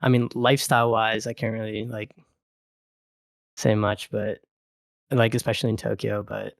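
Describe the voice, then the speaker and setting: A man's voice: on the low side, normal speed, gravelly, no room sound. A young man from Japan, a podcast guest, chatting casually and rambling with lots of fillers. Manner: relaxed, rambling, heavy on fillers. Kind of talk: casual chat.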